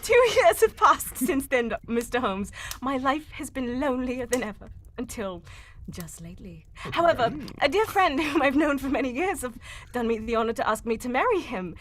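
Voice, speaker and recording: A melodramatic, high-voiced young Englishwoman. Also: big pitch swings, normal speed, a bit bright, heavily acted. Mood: earnest, explaining. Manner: theatrical, melodramatic. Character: earnest, distressed young Englishwoman, wordy client telling her tale. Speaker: voice actor reading cold. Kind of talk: live old-time radio mystery acting.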